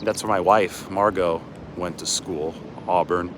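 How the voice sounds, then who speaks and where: American guy rambling to his stream outside, lowish voice, bit of street noise behind him. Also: fairly even intonation, unhurried. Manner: rambling, chatty asides while on the move. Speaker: sports reporter hosting a livestream. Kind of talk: casual livestream chat. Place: outdoors on city streets by a river at dawn. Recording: outdoor city ambience with traffic noise.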